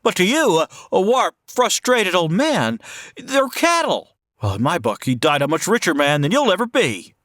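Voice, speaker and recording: A man's voice: high voice, big pitch swings, normal speed, fairly bright tone, no echo, studio quality. A man playing an earnest, dreamy young small-town man in old-time radio drama style, stammering and emphatic. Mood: indignant.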